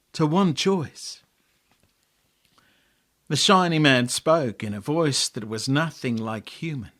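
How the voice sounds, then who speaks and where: An Australian man reading a story as its author, with expressive, dramatic delivery and deliberate pauses. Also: a little high. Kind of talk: dramatic storytelling, scripted narration.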